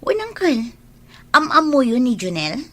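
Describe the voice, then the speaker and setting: A woman playing a trusting, stubbornly loyal young woman in love in a radio drama, expressive, with an Ilocano accent. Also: high voice, a little slow, heavily acted. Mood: defensive. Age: young adult. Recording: noticeable background noise.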